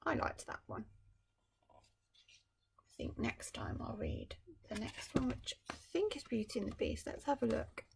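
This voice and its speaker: A woman teacher reading a storybook aloud to children. Her fairly high voice has a strong gravelly creak. She swings widely in pitch and breaks off into gentle, musing asides to her listeners. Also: wildly swooping pitch, unhurried, pauses often, noisy background. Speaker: primary school teacher.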